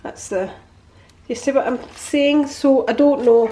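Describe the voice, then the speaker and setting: A woman's voice: high voice, a little slow, dark tone, slight background noise. Chatty woman in her sixties, echoey kitchen. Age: 60s. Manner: chatty and cheerful, rambling. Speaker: vlogger. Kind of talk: casual chat to camera. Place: home kitchen.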